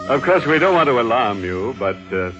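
A ghoulish host who relishes his dark jokes. His delivery is very slow and widely swooping, mocking and drawn out. It sounds like muffled 1940s radio. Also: lowish voice. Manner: tongue-in-cheek, drawn-out, chuckling. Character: ghoulish, gleefully macabre horror-show host with mocking humour. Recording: muffled vintage radio recording with music.

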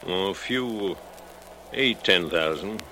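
Shady bookmaker, deep, very slow delivery, cagey, vintage radio drama, clearly noisy. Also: male voice, sharp tone, full character voice. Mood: guarded. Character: cagey, slow-talking male racetrack bookmaker. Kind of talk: 1940s radio drama acting. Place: radio studio.

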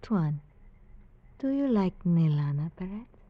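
A woman's voice: lowish voice, sing-song, no room sound. A shy coy island girl. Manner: soft, halting, formal speech. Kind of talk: 1950s radio drama acting. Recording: dull old radio recording.

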